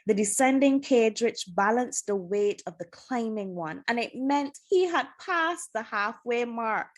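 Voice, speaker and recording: A woman reading a children's adventure novel aloud as scripted narration, with expressive, dramatic storytelling. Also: fairly high pitch, a little slow. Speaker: storybook reader.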